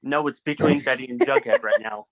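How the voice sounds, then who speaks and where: A man talking casually in a group discussion, halting and repeating himself, often leaning on "like". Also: mid-range voice, a crowd in the background. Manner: halting, repetitive, heavy use of "like". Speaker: film production student in a group meeting.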